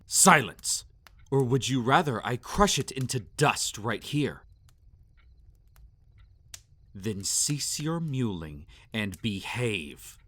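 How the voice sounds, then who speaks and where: A man playing a sadistic, possessive vampire master in a roleplay audio, speaking formally and condescendingly with silky menace and drawn-out commands. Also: medium pitch, sing-song, sharp tone, exaggerated voice. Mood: angry, possessive. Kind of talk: roleplay audio acting, intimate and theatrical.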